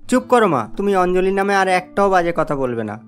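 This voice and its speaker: A man's voice: high-pitched, big pitch swings, fairly quick, faint music behind. A man voicing an irritable, scolding grown son and husband in a cartoon, curt and impatient. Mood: irritated. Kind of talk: cartoon story voice acting.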